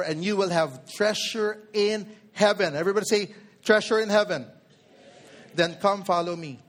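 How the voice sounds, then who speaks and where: Preacher, male, forties, Filipino accent. High voice, emphatic sermon delivery, addresses listeners directly. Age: 40s. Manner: code-switches between English and Tagalog; emphatic, with rhetorical questions to listeners.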